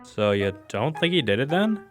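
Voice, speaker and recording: An actor playing an earnest, trusting young detective's sidekick in an audio drama, hesitant and questioning. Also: male voice, medium pitch, big pitch swings, moderate pace, over music, close to an everyday voice. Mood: curious, earnest. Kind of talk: scripted audio drama acting.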